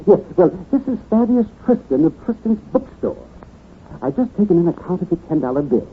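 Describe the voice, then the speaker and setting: An actor playing a fussy, excitable bookstore owner in a 1940s radio drama, fluttering and repeating himself. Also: male voice, high-pitched, fairly quick. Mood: excited. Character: fussy, excitable bookstore owner who adores mystery stories. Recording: tinny, hissy old radio broadcast.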